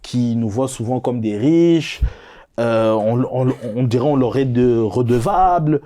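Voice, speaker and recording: A man hosting a casual panel podcast, often pausing and searching for words as he frames a topic. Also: medium pitch, dark tone. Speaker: podcast host.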